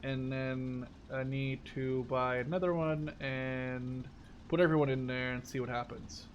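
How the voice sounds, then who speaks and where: A man's voice: medium pitch, fairly even intonation, unhurried, highs cut off, slight background noise. A man in his twenties from the Netherlands giving casual, unscripted game commentary, rambling and thinking aloud. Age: 20s. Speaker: gaming YouTuber.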